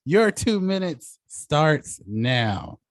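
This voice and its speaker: A man moderating a debate show off the cuff, excitable and quick to react aloud to what he hears. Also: fairly high pitch, no echo.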